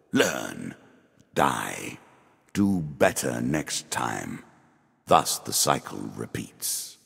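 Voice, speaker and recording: An ageless, condescending dealer from a fantasy video game, with an extremely low voice. His delivery swings expressively through ominous, theatrical phrases, and a long reverb trails every word. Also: gravelly, husky, exaggerated voice. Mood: ominous instruction. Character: ancient, immortal, sardonic dealer of a deadly card game. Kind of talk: scripted fantasy game character lines.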